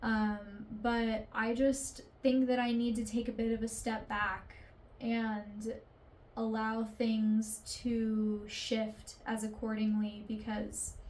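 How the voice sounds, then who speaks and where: Woman, 20s, confessional vlogger; unhurried, rambling, emotional delivery, slightly high. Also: flat delivery, darkish tone, slight background noise, poor audio. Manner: rambling, emotional, swears casually, many fillers. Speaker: artist vlogger. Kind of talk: candid confessional vlog.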